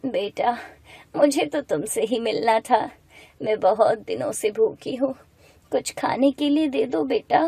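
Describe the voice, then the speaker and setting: A woman voicing a kindly old woman in an animated moral story, gentle, motherly and reassuring. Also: on the high side, measured pace, gravelly, slightly rough, no echo, full character voice. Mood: pleading. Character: kindly, hungry old woman blessing a girl.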